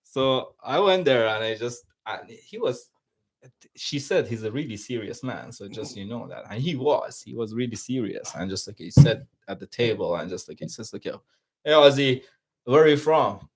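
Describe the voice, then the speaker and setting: A man's voice: mid-range voice, normal speed, slight background noise. Persian-accented man telling casual stories. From Iran. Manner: animated joking, often laughing through his words. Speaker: podcast guest. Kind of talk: casual anecdote storytelling.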